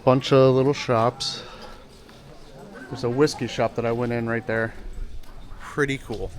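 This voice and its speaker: Male travel vlogger, mid-range voice, relaxed unscripted chat, recorded outdoors. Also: mid-range voice, a little slow. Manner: laid-back, unscripted, plain-spoken. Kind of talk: casual vlog narration and chat. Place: outdoors in old town streets.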